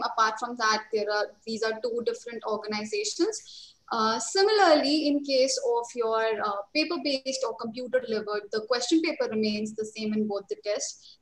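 A woman's voice: a little high, lively intonation, fairly quick. A young woman with an Indian English accent, teaching a webinar as a trainer and explaining steadily and reassuringly over a video call. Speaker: IELTS trainer. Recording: roomy video call audio.

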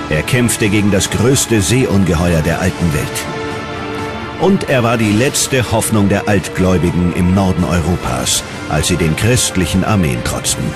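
A man reading scripted documentary narration in a dramatic, emphatic manner. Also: sits low. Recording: loud music behind the voice. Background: loud music.

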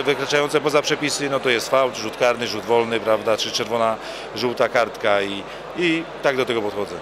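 Football coach answering at a noisy gala. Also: male voice, mid-range voice. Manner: repeats words when starting phrases. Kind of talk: interview answers. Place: hotel bar at an evening gala, indoors. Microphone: handheld mic held by interviewer.

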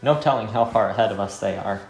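A man's voice: on the low side, fast, creaky, reverberant, faint music behind, poor audio. A man voicing a polite fighter who is eager for battle, with formal phrasing, in a visual novel game. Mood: eager, determined.

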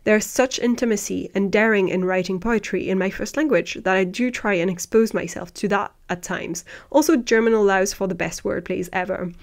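A woman's voice: mid-range voice, fairly quick, few pauses. A young woman whose first language is not English, talking animatedly and thoughtfully into a close desk microphone in a home room. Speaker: vlogger. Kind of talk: casual chat, reading out viewer answers. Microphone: desk microphone close to the mouth.

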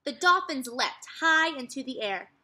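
A young adult woman reads a children's story aloud as a teacher, with expressive, clearly phrased narration. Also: high voice, a little slow. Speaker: teacher reading aloud to second graders. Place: furnished room at home, indoors.

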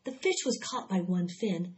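A woman's voice: lowish voice, sing-song, moderate pace. A woman reading aloud, voicing an eager, imaginative little farm girl who talks earnestly and insistently. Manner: earnest, insistent, breathless storytelling. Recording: dull lo-fi recording.

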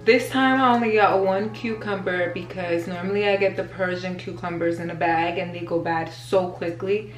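A woman's voice: lowish voice, steady tone, normal speed. A young woman in her twenties chatting playfully to the camera in a casual grocery haul vlog, filmed in a small home kitchen on the camera's own mic. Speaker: vlogger. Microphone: camera mic at close range. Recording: echoey kitchen with faint music.

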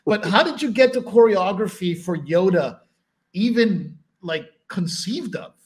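A man's voice: sits high, expressive, a little slow. A man hosting a podcast over a video call, asking eager, enthusiastic questions. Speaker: podcast host. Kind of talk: casual video call interview.

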